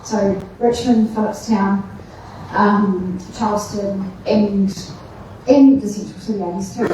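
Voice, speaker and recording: A woman answering as a candidate at a debate, halting and restarting as she works through her points. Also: mid-range voice, warm tone, a little hiss or hum. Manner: halting, with many fillers and restarts. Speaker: council candidate. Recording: echoey hall, distant mic.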